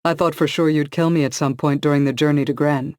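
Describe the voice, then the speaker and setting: A woman's voice: low voice, steady tone, fast, a little mellow, dry recording, studio quality, lightly acted. A man voicing a ruthless, scheming female villain in an audiobook, cold and boastful with a manic edge. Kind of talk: audiobook dialogue.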